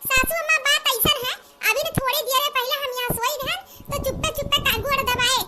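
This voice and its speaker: Squeaky, scheming Awadhi daughter-in-law. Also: female voice, fairly even intonation, fast. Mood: tattling. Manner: exclamatory, nagging, conspiratorial. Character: scheming, gossiping young village daughter-in-law. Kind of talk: cartoon comedy dialogue. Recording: noticeable background noise.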